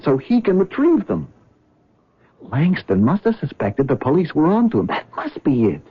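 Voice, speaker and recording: A man's voice: a little high, breathy edge, full character voice. A man playing an anxious cab driver in a 1970s radio drama, earnest and stammering when upset. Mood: worried. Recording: dull lo-fi old radio broadcast.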